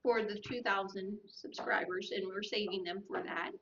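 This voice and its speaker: Woman, bright tone, brisk, matter-of-fact, casual chat, muffled recording. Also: mid-range voice, fairly even intonation. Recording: dull lo-fi audio.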